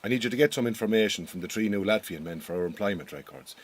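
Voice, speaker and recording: Lively low-voiced Irish farm boss. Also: male voice, quick pace, slightly rough. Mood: awkward, friendly. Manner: hesitant, stumbling, blunt. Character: awkward, well-meaning Irish farm boss, married man. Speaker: actor in an audio drama. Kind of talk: radio drama acting.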